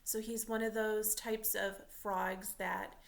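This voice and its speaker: A woman reading a picture book aloud like a teacher, pausing to explain and ask questions of the listeners. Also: mid-range voice, flat delivery, vocal fry. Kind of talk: expressive storybook read-aloud with teaching asides.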